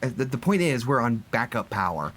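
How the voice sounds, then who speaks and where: An actor playing an anxious, doubting colleague at a research site in an audio drama, hesitant and questioning. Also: male voice, medium pitch, big pitch swings, brisk, over a noisy crowd, lightly acted. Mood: urgent.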